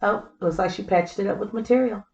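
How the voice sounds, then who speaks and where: A woman's voice: medium pitch, brisk, gravelly, a little mellow, roomy sound, lo-fi sound. A woman reading a children's story aloud, with explanatory asides to young listeners. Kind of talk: scripted storybook narration with simple asides.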